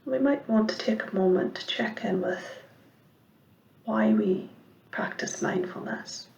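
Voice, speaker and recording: A woman giving guided meditation instruction as a mindfulness teacher, speaking in a measured, gentle way with pauses and soft, breathy phrase endings. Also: medium pitch, highs cut off. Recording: roomy, echoing sound.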